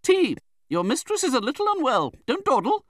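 An imperious, shrill-pitched old great-aunt. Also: male voice, huge pitch swings, normal speed, no room sound, studio quality. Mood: sharp, commanding. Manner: snappish, commanding, emphatic. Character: brusque, domineering, eccentric older great-aunt, imperious. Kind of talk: dramatised audiobook reading of a classic novel.

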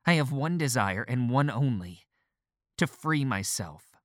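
Man putting on a shrill, overwrought country doctor. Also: big pitch swings, moderate pace, a bit bright, no room sound, studio quality. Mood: restless longing. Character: weary agitated middle-aged male doctor.

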